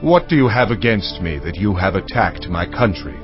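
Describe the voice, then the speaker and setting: A man's voice: deep voice, big pitch swings, normal speed. A man playing a proud warrior commander in a dramatized audio Bible, assertive and emphatic in his rhetoric. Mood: wary questioning. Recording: dull band-limited audio, faint music underneath.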